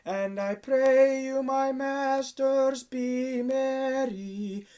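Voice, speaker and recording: Woman as a slow, high-voiced festive herald. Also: warm tone, faint music behind. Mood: ceremonial. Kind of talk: slow festive recitation and carol.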